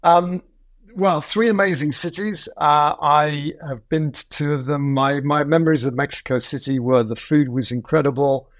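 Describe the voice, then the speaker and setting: A man's voice: a little high, no room sound. A man hosting a podcast, talking in a chatty, digressive way with fillers and restarts. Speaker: podcast host. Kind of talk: casual podcast interview.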